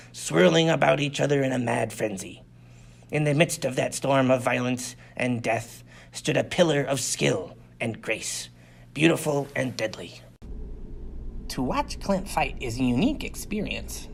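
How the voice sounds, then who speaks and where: A man's voice: medium pitch, gravelly, breathy edge. A man reading a science fiction adventure story as dramatic scripted narration. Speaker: audiobook reader.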